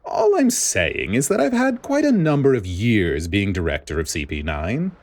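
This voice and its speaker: A man voicing a smug, middle-aged director who wheedles in an oily, drawn-out way, in a story reading. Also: on the low side, very animated, voice pushed up. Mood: smug wheedling. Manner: oily, drawn-out persuasion, stammering when cornered.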